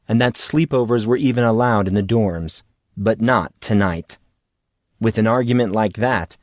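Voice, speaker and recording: A man's voice: lowish voice, no echo, studio quality. A man narrating a story as an audiobook, reading scripted prose.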